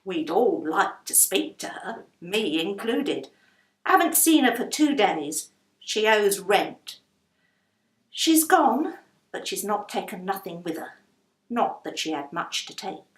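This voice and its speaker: A woman's voice: medium pitch, big pitch swings, slow pace, roomy sound. A woman voicing a chatty, blunt working-class London landlady in a children's storybook. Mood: guilty, fond. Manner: chatty, blunt, colloquial non-standard grammar.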